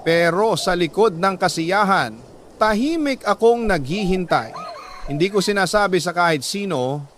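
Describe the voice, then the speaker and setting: A man narrating a first-person letter in an earnest, sentimental radio storytelling style, phrasing with measured pauses. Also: high-pitched, animated, fairly bright tone, a little hiss or hum. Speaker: narrator reading a listener's letter.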